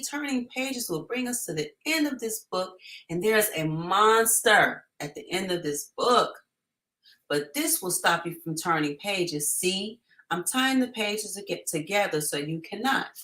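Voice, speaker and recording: A woman's voice: mid-range voice, lively intonation, unhurried, bright, forceful tone, voice pushed up. A woman reading aloud as a lovable furry monster from a storybook, anxious and dramatically pleading. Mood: earnest warning.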